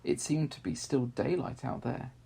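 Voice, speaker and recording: Mellow, creaky-voiced man reading a story. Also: medium pitch, animated, moderate pace. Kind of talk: scripted children's story narration.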